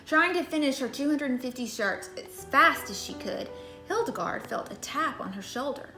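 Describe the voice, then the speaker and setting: A woman's voice: sits high, animated, moderate pace, echoey room, music in the background. A woman reading a children's storybook aloud in a scripted narration style. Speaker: story reader.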